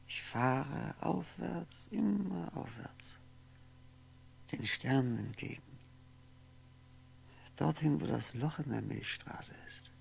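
Slow, gravelly, brooding lonely man. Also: on the high side, full character voice. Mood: entranced, longing. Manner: musing, halting, sometimes whispering. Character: lonely, brooding man talking to himself, wistful and wry. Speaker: actor in a radio play. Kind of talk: 1960s radio drama monologue. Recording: noisy 1960s radio recording, faint hum.

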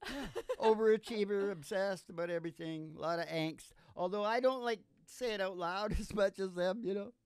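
A man's voice: high voice, moderate pace, a little mellow, smooth, no echo. An older man hosting in a rambling, comic, teasing way, often chuckling, into a stage microphone. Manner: jokey, teasing, laughs often. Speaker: podcast host and country singer. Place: small curtained studio stage. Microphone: stage microphone on a stand. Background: acoustic guitar.

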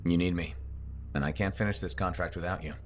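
An actor playing an earnest, wry, determined freelance pilot in a video game, speaking in a dry, direct way. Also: male voice, sits low, fairly even intonation, fast talker, close to an everyday voice. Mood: urgent, earnest. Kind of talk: video game dialogue acting.

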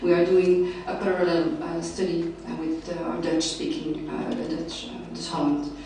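A woman's voice: lowish voice, level tone, moderate pace, noisy background. A young woman from Belgium presenting her research at a panel table, with many fillers and restarts. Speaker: researcher presenting at a summer school. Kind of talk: academic conference presentation. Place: large lecture hall, seated at a panel table. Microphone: table mic, recorded by a far camera. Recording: very echoey hall, voice far from the mic.

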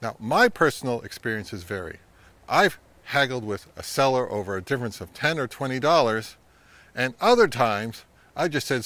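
A man's voice: mid-range voice, bright, forceful tone, no room sound. A middle-aged man with a North American accent giving informal, emphatic advice to camera as a channel host. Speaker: vintage audio channel host. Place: outdoors in woodland.

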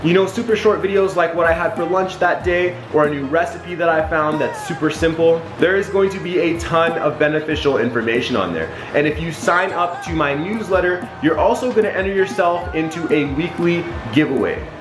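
A man's voice: on the high side, brisk, hardly any pauses. A young man hosting a casual cooking vlog in his home kitchen, chatting upbeat and directly to viewers. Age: young adult. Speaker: fitness vlogger, host. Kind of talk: casual cooking demo to camera. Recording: roomy kitchen echo with background music.

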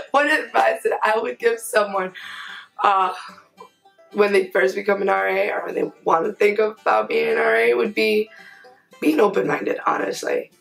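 A woman's voice: medium pitch, normal speed, gravelly, fairly bright tone, faint music behind, low-quality recording. A young woman from Queens, a college resident assistant, giving candid interview answers with casual fillers. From Queens, New York. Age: young adult. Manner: candid, talkative, with fillers like "honestly". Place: indoors before a plain grey backdrop.

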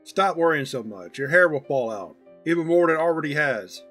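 A man's voice: on the high side, animated, a little fast, a bit bright, soft background music. A man voicing an affectionate, proud, teasing old grandfather in a fond, folksy, chatty manner for a story reading.

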